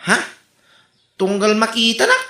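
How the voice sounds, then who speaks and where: An actor with an Ilocano accent playing a hurried, grumbling office worker in a radio comedy drama. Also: medium pitch, very animated, sharp tone, full character voice. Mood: exasperated. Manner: grumbling, exclamatory. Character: exasperated, hurried office worker pestered by neighbours. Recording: echoey sound with music bed.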